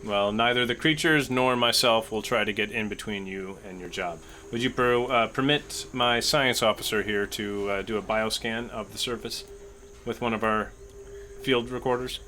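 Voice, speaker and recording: A man playing a courteous starship captain, bright voice. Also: on the low side, normal speed, a little hiss or hum. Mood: polite, serious. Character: courteous male starship captain, diplomatic and earnest.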